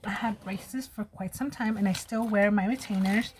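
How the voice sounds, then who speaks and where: A woman's voice: medium pitch, moderate pace, a little mellow, slight background noise. Chatty woman with a slight lisp. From United States. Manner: chatty, open and personal. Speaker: vlogger. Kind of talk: casual chat while doing makeup.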